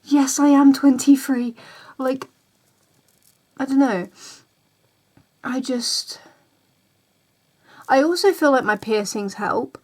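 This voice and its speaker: A woman's voice: sits high, slow pace, long pauses, a little mellow. A woman, talking casually straight to camera, rambling and repeating herself. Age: 20s. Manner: chatty, repeats herself, voices other people's reactions. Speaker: vlogger. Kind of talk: casual ranting vlog.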